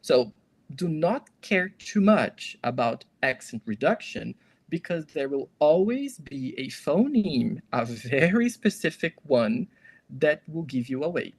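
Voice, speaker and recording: A man's voice: on the high side, big pitch swings, measured pace, husky. A man, a guest expert, explains language learning in English step by step like a teacher. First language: Portuguese. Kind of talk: explanatory conversation.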